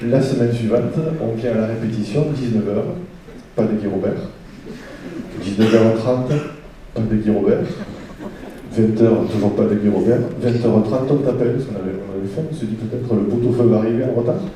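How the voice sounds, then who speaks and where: A man's voice: lowish voice, normal speed. A man, a musician giving an informal, anecdotal tribute speech. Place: auditorium, live event. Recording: echoey auditorium, distant mic, faint noise.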